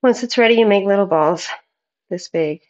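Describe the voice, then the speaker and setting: A woman's voice: mid-range voice, normal speed, muffled recording. A woman in her thirties casually explaining a recipe in an informal way, recorded in a home kitchen. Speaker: home cooking vlogger.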